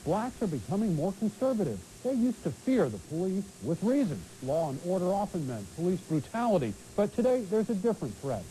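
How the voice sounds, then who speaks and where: Lively high-pitched American male news commentator. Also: fairly quick, few pauses, rough recording. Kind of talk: scripted broadcast commentary. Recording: hissy late-1980s TV broadcast tape.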